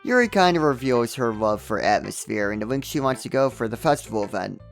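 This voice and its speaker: Game reviewer, scripted comedic narration, wry asides, very lively intonation, music underneath. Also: mid-range voice, a little fast.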